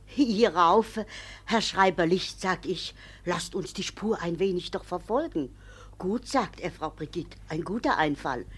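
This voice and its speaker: An actress playing an earnest, superstitious village woman who testifies in a deliberate storytelling manner in a classic radio comedy. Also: lowish voice, lively intonation, slightly rough. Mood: solemn testimony.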